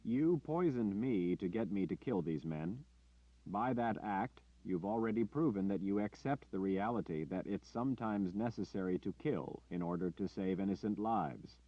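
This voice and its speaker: A man doing a commanding warrior leader, pressing his point with hard emphasis in a lowish voice. It's a dry, dull-sounding recording. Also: lively intonation, moderate pace, no room sound. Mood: urgent. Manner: forceful, pleading, emphatic. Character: commanding male warrior leader, intense and urgent.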